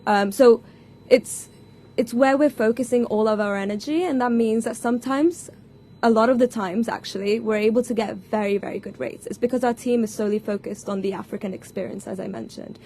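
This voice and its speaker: A woman's voice: a little high, steady tone, brisk, warm tone, slight background noise. A woman giving conversational, explanatory answers as an interview guest, often checking in with a short tag question. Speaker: company growth associate, interview guest.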